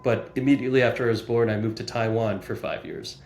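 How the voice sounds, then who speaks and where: A man's voice: mid-range voice, fairly even intonation, quick pace, a little mellow, reverberant. An American man, a guest on a podcast, introducing himself and his work conversationally. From United States, New Jersey. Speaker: podcast guest, real estate broker.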